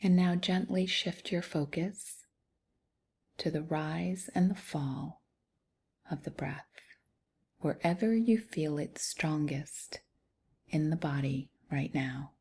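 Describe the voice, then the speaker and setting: A woman leading a guided meditation in a soft, soothing, unhurried way with long pauses. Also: low-pitched, husky. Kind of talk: guided body scan meditation.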